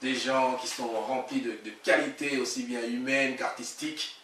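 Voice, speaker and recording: A man's voice: medium pitch, steady tone, moderate pace, a bit bright, slight background noise. Man, interview host, formal and polite, hesitant, echoey room, heard from a distance. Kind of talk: interview questions and sign-off. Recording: roomy distant audio.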